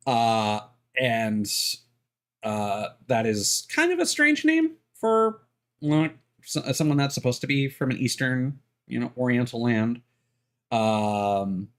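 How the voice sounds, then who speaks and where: A man streaming a game, chatting casually to his audience with lots of ums and uhs. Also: medium pitch. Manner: rambling, many fillers.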